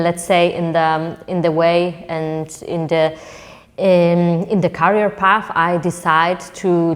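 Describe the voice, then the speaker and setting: Guest, female, from Poland, lower voice, hesitant, long room reverb. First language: Polish. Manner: hesitant, repeating words, many fillers. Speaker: entrepreneur guest. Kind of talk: interview answers.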